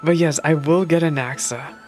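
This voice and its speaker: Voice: mid-range voice, steady tone, normal speed, darkish tone. Chatty game streamer over music. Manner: slangy, chatty, exclamatory, rambling asides. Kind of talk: casual gameplay reaction commentary. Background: game music and dialogue.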